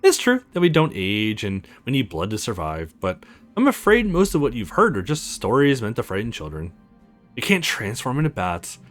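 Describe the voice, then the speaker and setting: A man plays an ancient vampire lord from a visual novel. He is courtly and wry, speaking in formal, measured phrases with very wide swings of pitch. Music plays behind him. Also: wildly swooping pitch, fairly bright tone. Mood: wryly amused. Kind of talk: visual novel character voice read aloud.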